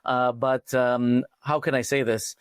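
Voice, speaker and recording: Man, thoughtful, mid-pitched. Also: level tone, a little slow, smooth, no echo, clean studio sound. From Montreal, Canada. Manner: thoughtful, many "uh" fillers. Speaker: financial coach, podcast guest. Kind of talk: casual interview talk.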